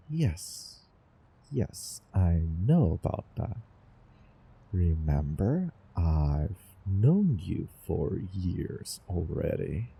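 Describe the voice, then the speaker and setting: A man playing a doting, obsessive young time traveler in love, in a theatrical romantic audio roleplay with halting, repeated words. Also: sits low, sing-song, vocal fry, dark tone, slightly rough, heavily acted. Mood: reassuring, eager. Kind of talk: over-the-top romantic roleplay audio drama.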